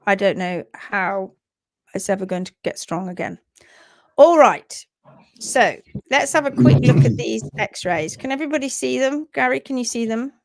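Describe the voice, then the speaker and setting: A woman hosting a livestream about horse hoof care, chatty and teaching. She has a sore throat and a croaky voice, and she mutters to herself while she fumbles with her screen. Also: a little high, moderate pace, dry recording. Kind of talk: casual livestream talk and teaching. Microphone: computer mic on a video call.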